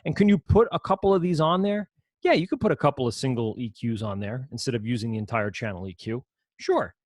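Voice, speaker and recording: Casting: man, low voice, casual rambling teacher walking through software, dry close sound. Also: a little fast, no echo. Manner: casual, rambling, self-correcting explanations. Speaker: audio production teacher. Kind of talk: screen-share software lesson.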